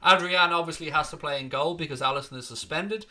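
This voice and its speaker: Bright-voiced Irish man chatting on a stream. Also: fairly high pitch, fast. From Ireland. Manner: chatty, blunt, swears casually. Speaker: fan channel host. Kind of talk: live-stream chat reading comments.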